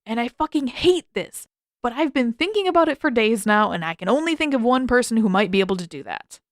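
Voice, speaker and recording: A woman's voice: a little high, expressive, brisk, no room sound, studio quality, close to an everyday voice. A woman voicing a blunt, irritable, sarcastic teenage boy in an audiobook-style story, speaking casually and emphatically. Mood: exasperated, snappy.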